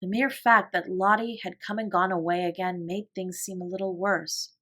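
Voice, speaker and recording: A woman narrating a classic children's novel as a bedtime story, in a calm, gentle, measured voice. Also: lowish voice. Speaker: audiobook reader.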